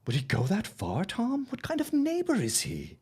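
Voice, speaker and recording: A man's voice: high voice, wildly swooping pitch, normal speed, darkish tone, slightly rough, exaggerated voice. An actor playing a grieving, guilt-ridden father of the early twentieth century, torn and doubtful, in an audio drama. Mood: anguished, doubtful.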